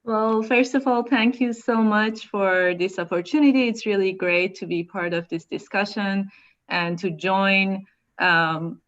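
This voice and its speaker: A woman's voice: medium pitch, fairly even intonation, unhurried, a bit bright. Lo-fi woman from Iran, panel talk. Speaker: architect and panelist. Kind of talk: online panel discussion.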